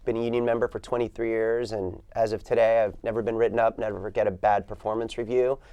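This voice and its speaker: A man's voice: on the low side, flat delivery, fast, warm tone, smooth, dry recording, lo-fi sound. A man who works as a union organizer, answering interview questions confidently and in rallying phrases.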